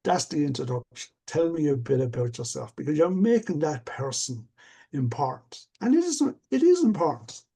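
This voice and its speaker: An older man speaks with a gravelly, husky voice. His tone is dark and mellow. He talks about things in an earnest, reflective, measured way, the way a coach does. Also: on the high side, big pitch swings. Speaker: stuttering coach. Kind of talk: casual conversation.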